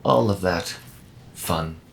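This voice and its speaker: Friendly robot mechanic for audio roleplay male actor: calm, wry, very unhurried, creaky low register, faint background noise. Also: heavily acted. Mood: calm, reassuring. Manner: measured, unhurried, gently wry. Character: friendly robot mechanic, calm, dry-humoured desert rescuer. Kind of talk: slow intimate audio roleplay acting.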